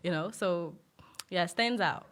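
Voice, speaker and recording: A woman's voice: on the low side, a little slow, a bit bright. A young woman, born in Greece and raised in Romania, chatting easily as a guest with lots of fillers and laughter. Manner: easygoing, many fillers, laughs often. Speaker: guest musician. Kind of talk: casual interview chat.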